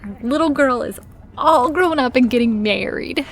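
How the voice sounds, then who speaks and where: Upbeat, higher-pitched best friend. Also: female voice, animated, normal speed. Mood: supportive, upbeat. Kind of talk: audio drama acting.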